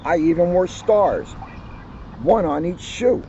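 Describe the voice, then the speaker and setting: A man who is a police officer, reading a children's picture book aloud outdoors with lively storytelling. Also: high-pitched, slow, muffled recording, a little hiss or hum. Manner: lively storytelling, livens up on quoted lines. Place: outdoors on a hill at a historic house.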